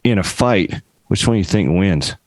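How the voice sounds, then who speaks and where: Deep-voiced young male podcast host. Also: lively intonation, darkish tone, no echo. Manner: deliberate, setting up long questions. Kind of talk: interview questions.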